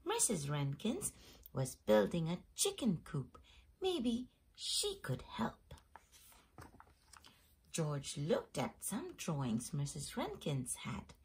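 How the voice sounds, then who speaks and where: A woman host reading a children's picture book aloud in an expressive storytelling manner. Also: fairly low pitch, slow pace, gravelly, slightly rough. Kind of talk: scripted storybook read-aloud.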